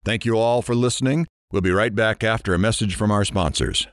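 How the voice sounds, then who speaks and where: A man's voice: fairly low pitch, brisk, a little hiss or hum, close to an everyday voice. A man playing a curious, showman-like radio talk show host in an audio drama. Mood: showman-like, engaged.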